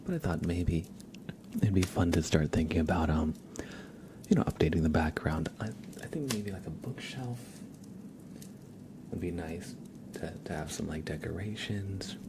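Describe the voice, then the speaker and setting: Soft, low-voiced man, often whispering. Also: moderate pace, long pauses. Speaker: ASMR livestream host. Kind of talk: whispered, chatty live ASMR. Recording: clear background ambient noise.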